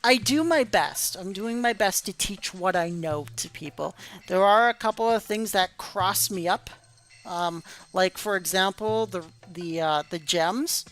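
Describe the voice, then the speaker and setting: A woman streaming a game tutorial in casual chat, her voice going hoarse after hours of talk, rambling and apologetic with many fillers. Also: mid-range voice, unhurried, fairly bright tone, soft background music. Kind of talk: casual live-stream commentary.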